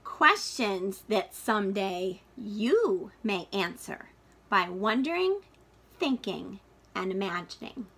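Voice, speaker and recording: A woman's voice: mid-range voice, very animated, takes it slowly, fairly bright tone, breathy edge. A woman reading a picture book aloud to children like a teacher, expressively, drawing out key words for emphasis. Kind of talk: read-aloud storytelling for children.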